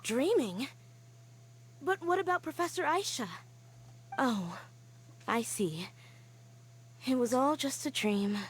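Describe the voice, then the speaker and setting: A woman voicing an earnest young woman scholar in a game, telling her story quietly and sighing often. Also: high voice, expressive, slow, pauses often, slight background noise. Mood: wistful. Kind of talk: game cutscene voice acting.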